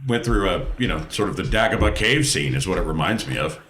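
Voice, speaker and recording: A man's voice: sits low, lively intonation, quick pace, fairly bright tone, reverberant, slight background noise. A man chatting casually as a player on a tabletop role-playing podcast. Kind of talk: casual group chat.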